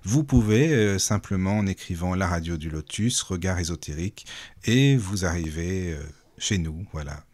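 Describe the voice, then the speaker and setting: A man hosts a small radio show, chatting with listeners and stumbling over fillers. His voice sits low. The recording is dry and close. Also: lively intonation, normal speed, darkish tone, no echo. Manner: friendly, lots of fillers, promotional. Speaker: radio host.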